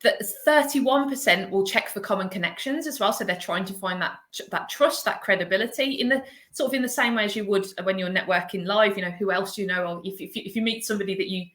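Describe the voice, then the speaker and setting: Birmingham woman host, bright tone, roomy video-call sound, friendly filler-heavy chat. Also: mid-range voice, quick pace, hardly any pauses. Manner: chatty, laughs often, many fillers. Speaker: host, agency director. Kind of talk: live video interview.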